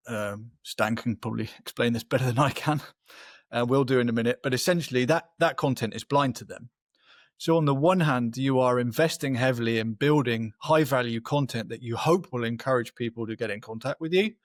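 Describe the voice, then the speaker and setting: A man's voice: medium pitch, brisk, no echo. A man speaks in a mid-range voice, explaining things like a marketing expert on a webinar. His delivery is conversational and he often checks in with the listener. The sound is close and dry, with little room in it.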